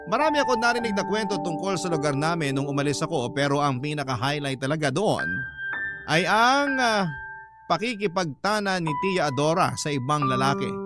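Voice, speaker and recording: A man narrating a radio drama, reading a first-person letter in an emotive storytelling style. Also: a little high. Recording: loud music bed under voice. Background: loud background music.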